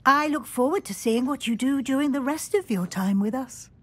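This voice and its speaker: An actress with a British accent playing a kindly, shrewd senior witch professor in a video game, measured, authoritative and encouraging. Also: sits high, a bit bright. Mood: proud. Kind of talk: dramatic video game voice acting.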